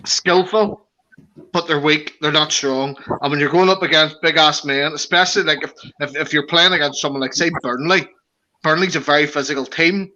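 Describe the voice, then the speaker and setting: A man with a Belfast accent speaks in a sharp, bright voice that sits a little high. He chats in a lively way as a podcast guest and laughs as he talks. The sound is dull and lo-fi. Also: normal speed, a little hiss or hum. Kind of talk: casual chat.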